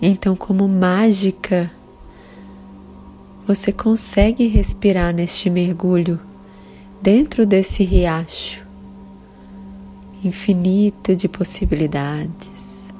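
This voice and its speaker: A Brazilian woman leading a guided meditation in a calm, soothing, measured way with long pauses between phrases. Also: lowish voice, poor audio. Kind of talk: slow guided meditation narration. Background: soft sustained meditation music.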